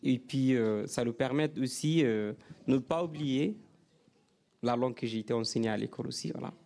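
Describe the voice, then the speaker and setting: Sudanese man, halting non-native French. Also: medium pitch, gravelly, dark tone. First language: a Sudanese language. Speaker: author. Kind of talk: panel discussion.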